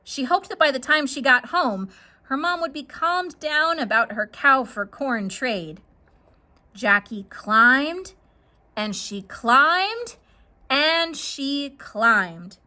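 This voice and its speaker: A woman narrating a scripted children's story in a dramatic, expressive way. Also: sits high, takes it slowly.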